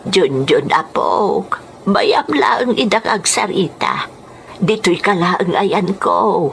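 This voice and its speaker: A woman's voice: medium pitch, animated, slow, gravelly, a little hiss or hum. A woman playing a firm, soothing old grandmother in an Ilocano radio drama, with an Ilocano accent. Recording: music under the voice.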